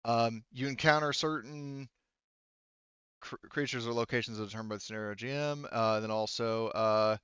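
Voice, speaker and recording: Clear-voiced man running a game, slightly muffled audio. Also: mid-range voice, a bit bright, no echo. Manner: informal, halting, often correcting himself. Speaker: game master. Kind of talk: improvised narration of a tabletop roleplaying game.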